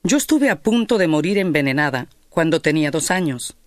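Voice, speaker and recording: A woman's voice: low-pitched, lively intonation, brisk, a little mellow, no echo, clean studio sound. Matter-of-fact woman. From Spain. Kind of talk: read personal testimony.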